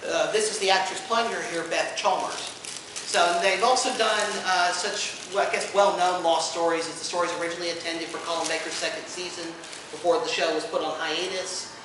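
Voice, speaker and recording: A man giving an informal panel presentation to an audience, an enthusiastic explainer who speaks haltingly with many asides. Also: high-pitched, fairly even intonation. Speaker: convention panelist presenting audio drama releases. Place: indoor convention panel room. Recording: echoey room from far off, noisy.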